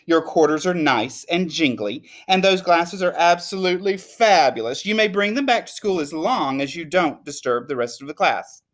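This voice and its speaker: A woman voicing a kindly, encouraging male schoolteacher who speaks in a deliberate, exclamatory way. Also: lowish voice, lively intonation, lightly acted. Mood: kind, reassuring.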